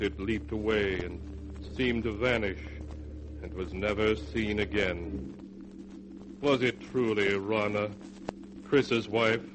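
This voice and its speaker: Slow, ominous vintage radio horror announcer, male. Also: on the low side. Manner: theatrical, ominous, deliberate phrasing. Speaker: announcer and narrator of a horror anthology. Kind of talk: 1940s radio horror narration, scripted. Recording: noisy vintage 1940s radio recording.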